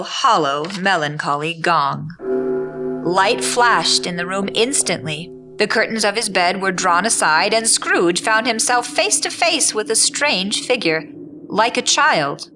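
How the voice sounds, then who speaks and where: A woman narrator with a bright, crisp-edged tone and medium pitch. She reads scripted storybook narration for an audio drama.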